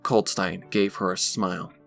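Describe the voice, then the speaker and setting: Low, gravelly male story narrator. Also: fairly even intonation, unhurried. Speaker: fan fiction narrator. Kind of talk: scripted dramatic story narration. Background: background music.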